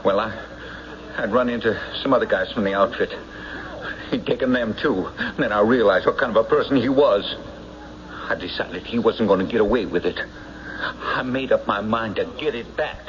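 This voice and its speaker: A man playing a desperate, hot-tempered war veteran in an old radio drama, speaking in an agitated, halting, insistent way on a muffled recording. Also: on the low side, slight background noise, close to an everyday voice. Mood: aggrieved, pleading.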